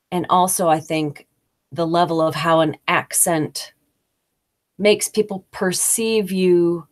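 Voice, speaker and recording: An American woman hosting a casual, conversational talk about business English, thinking aloud with occasional fillers. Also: on the low side. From United States. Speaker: host.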